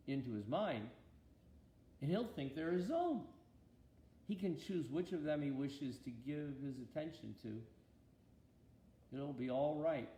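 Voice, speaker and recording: A man's voice: fairly high pitch, mellow, roomy sound. A man voicing a thoughtful, patient God who explains his plans to his angels in a storybook reading, with a reassuring manner. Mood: patient, explaining.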